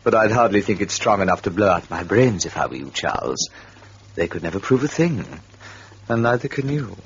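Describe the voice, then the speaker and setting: Sardonic, animated accused husband. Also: male voice, lowish voice, fairly quick. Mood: coolly menacing. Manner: dry, pointed. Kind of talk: radio drama acting. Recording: dull, noisy old radio recording.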